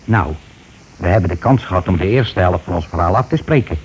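An actor playing a scheming, irritable husband in a radio play, speaking insistently and colloquially. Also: male voice, sits low, expressive, a little fast, low-quality recording. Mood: calculating, irritated. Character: scheming, irritable husband covering his tracks. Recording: hissy old radio recording.

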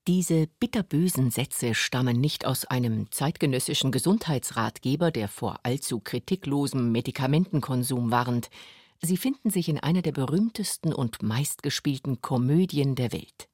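A man narrating a scripted radio documentary in a measured storytelling way. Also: mid-range voice, expressive, darkish tone, no room sound. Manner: measured, clear storytelling delivery. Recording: dry studio with faint music.

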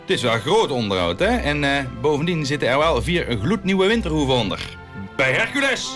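A man's voice: fairly high pitch, big pitch swings, fairly quick, a bit bright, soft background music. A man playing a grumbling, exasperated village carpenter with a Brabant Dutch accent in a comic radio play. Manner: blustering, prone to exasperated cursing.

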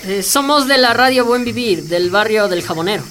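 A woman playing a commanding community radio reporter, brisk and inquisitive in a radio drama. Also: medium pitch, lively intonation, a bit bright, close to an everyday voice. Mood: curious, concerned.

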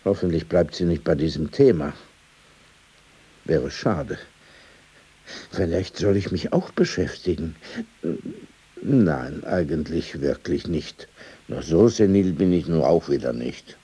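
Older man, radio play, dark mellow voice, animated melody, courteous, pedantic, emphatic short phrases. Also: very deep voice, husky, exaggerated voice. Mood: courteous, musing. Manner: halting short phrases, formal politeness, emphatic. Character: courteous, pedantic older gentleman, insistent storyteller. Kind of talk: radio play dialogue and storytelling.

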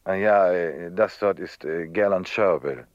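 An actor playing a worldly, gallant telepathic police prefect in a radio play, with a measured, insistent manner. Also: male voice, low voice, dry recording. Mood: urbane, playful. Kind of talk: German radio play acting. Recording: dull, dry studio radio recording.

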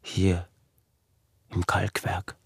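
An actor playing an obsessive recluse in a radio drama monologue, brooding and halting, with a husky, breathy voice that is often close to a whisper. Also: male voice, low voice, monotone, no room sound, full character voice. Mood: hushed, intent. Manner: halting, brooding, insistent repetition. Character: obsessive male recluse tormented by his acute hearing.

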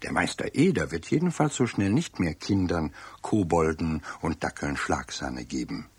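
A man narrating a children's radio play as a wry, avuncular storyteller with a husky, rough voice. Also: sits low, expressive, moderate pace, no room sound, full character voice.

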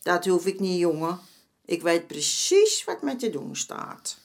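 A woman's voice: lowish voice, sing-song, measured pace, vocal fry, full character voice. An actress playing a streetwise former madam in her seventies in a radio drama, folksy and dismissive in manner. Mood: guarded. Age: 70s. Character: streetwise 74-year-old former madam, motherly but brazen.

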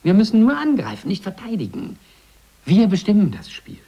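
An actor playing a calculating, persuasive media executive in a radio drama, measured yet insistent. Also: male voice, high-pitched, wildly swooping pitch, dark tone, muffled recording, full character voice. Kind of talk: 1980s German radio drama acting.

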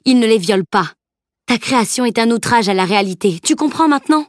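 Severe old mentor, grumbling and lecturing, voiced somewhat high; a woman reading him. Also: expressive, brisk, dry recording, clean studio sound, natural voice. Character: stern old male master teacher.

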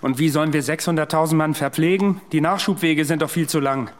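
An actor playing a world-weary, sceptical military adviser, speaking in a blunt, sober way in a radio drama. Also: male voice, a little high, steady tone, fairly quick, close to an everyday voice. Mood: warning. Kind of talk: radio drama acting and narration.

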